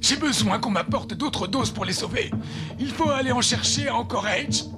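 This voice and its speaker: Un comédien qui joue un docteur de village autoritaire et inquiet, au débit emphatique et pressant, dans un dessin animé doublé. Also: male voice, very high voice, moderate pace, music in the background. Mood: grave, alarmed. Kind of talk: animated film dub.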